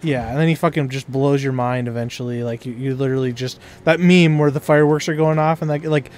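A man's voice: mid-range voice, steady tone, fast, a little mellow. A man in casual, slangy gameplay chat, joking as he goes with his co-op partner. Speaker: gaming streamer, co-op player.

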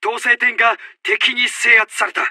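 An actor playing a commanding, urgent Japanese army officer who barks out short battle announcements in a military video game. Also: male voice, extremely high pitch, level tone, moderate pace, pushed and bright, dry recording, clean studio sound, exaggerated voice. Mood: alarmed, stern.

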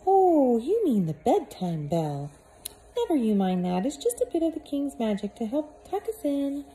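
A woman voicing a fussy, falsely cheerful middle-aged caretaker in a storybook read-aloud, with a fluttery, high manner. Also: very animated, moderate pace, poor audio. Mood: forced cheer. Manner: bright, fluttery, forced cheer.

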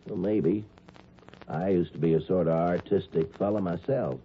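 A man's voice: deep voice, moderate pace, a little hiss or hum, natural voice. An actor playing a plain-spoken, folksy small-town policeman who narrates a 1950s radio drama. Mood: folksy, easygoing. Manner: folksy, colloquial. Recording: tinny 1950s radio recording, music behind.

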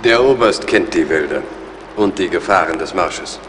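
A man plays an earnest British officer in an audio drama. The voice is deep, the manner courteous and cautious, with faint ambient noise behind. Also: animated, moderate pace. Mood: reassuring, earnest. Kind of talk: German audio drama acting.

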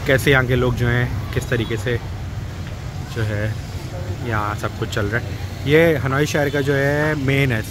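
A man's voice: mid-range voice, fairly even intonation, unhurried, pauses often. A man with a Pakistani Urdu accent, chatting in a rambling, casual way as he walks and films a travel vlog. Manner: chatty, rambling, with many fillers. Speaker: travel vlogger. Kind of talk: casual walking vlog commentary. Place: busy city street at night, outdoors. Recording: loud street traffic noise. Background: heavy motorbike and traffic noise.

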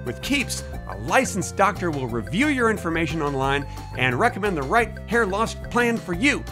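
Animated middle-aged American man hosting. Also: high voice, moderate pace, music in the background. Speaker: video host. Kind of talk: casual scripted intro and sponsor read to camera. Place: small home room, indoors. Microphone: desk microphone close in front.

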